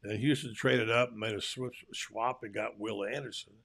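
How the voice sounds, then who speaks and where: Gravelly bright-voiced older male host. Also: lowish voice, steady tone, normal speed. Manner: chatty, folksy, loud calls to guests. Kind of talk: casual live show chat.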